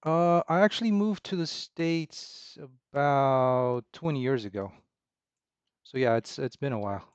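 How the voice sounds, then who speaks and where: A man in his thirties from Romania, chatting casually with livestream viewers in a halting, relaxed way full of fillers and restarts. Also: mid-range voice, smooth, no room sound. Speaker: indie game developer streaming. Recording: dull, slightly lo-fi dry sound.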